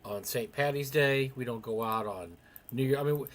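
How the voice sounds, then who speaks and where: A man's voice: medium pitch, moderate pace. Young-sounding older man telling casual stories. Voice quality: young-sounding for his age. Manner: chatty, animated retelling with quoted dialogue. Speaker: live stream co-host. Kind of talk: casual chat, rambling anecdotes.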